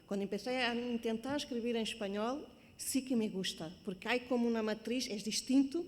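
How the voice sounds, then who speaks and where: A woman's voice: on the high side, brisk, in a large hall. A woman from Portugal with a Portuguese accent, a native Portuguese speaker discussing books earnestly at a reading group. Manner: earnest, emphatic, searching for Spanish words. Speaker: book club member. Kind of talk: book club discussion.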